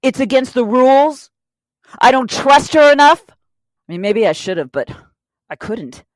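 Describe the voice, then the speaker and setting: A woman's voice: sits high, expressive, moderate pace, no room sound. A woman playing a loyal, hot-tempered robber wracked with guilt in an audio drama, speaking in a blunt, earnest, profane way. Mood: distraught, defensive. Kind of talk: audio drama crime acting.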